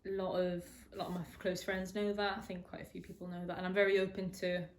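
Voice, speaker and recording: Young woman, low-pitched, hesitant and candid, personal interview, faint background hiss. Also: level tone, quick pace. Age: 20s. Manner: hesitant, lots of restarts and ums. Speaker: interviewee sharing a personal story.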